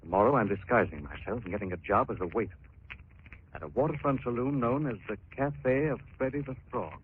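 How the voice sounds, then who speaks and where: An actor playing a suave, resolute gentleman crime-fighter in a 1940s radio drama, with clipped, polished speech. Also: mid-range voice, brisk, lightly acted. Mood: urgent, resolute. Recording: tinny, noisy 1940s radio recording.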